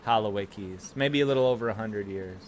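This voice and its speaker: A man's voice: on the low side, fairly quick. A young man with a Chicago accent, hosting a casual livestream from a small room at home and telling rambling, profane stories to his chat. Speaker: livestream host.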